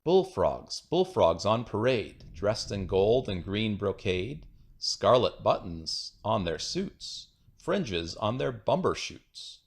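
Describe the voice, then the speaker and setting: A man reading children's rhyming poems aloud in a playful, singsong, rhythmic way. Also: fairly low pitch. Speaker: children's book reader.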